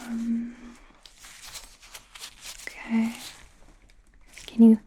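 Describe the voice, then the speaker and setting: Casting: woman as female werewolf doctor for ASMR roleplay; soft mellow whisper, awkward, curious, apologetic, unhurried. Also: on the high side, monotone, a little hiss or hum. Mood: gently clinical. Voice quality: whispered, breathy. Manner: hesitant, halting, softly reassuring. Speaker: ASMR performer.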